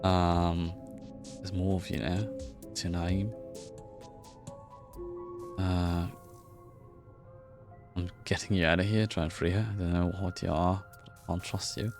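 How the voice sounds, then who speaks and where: A man's voice: low-pitched, little pitch movement, measured pace, pauses often, music in the background. A man, a livestreamer casually commenting and reading game choices aloud. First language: German. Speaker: livestreamer playing a visual novel.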